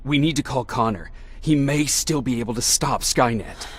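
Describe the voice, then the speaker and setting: An actor playing a grim, loyal resistance soldier in a video game, terse and steadfast, with a husky voice. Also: male voice, medium pitch, moderate pace, close to an everyday voice. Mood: steadfast concern. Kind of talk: dramatic video game acting.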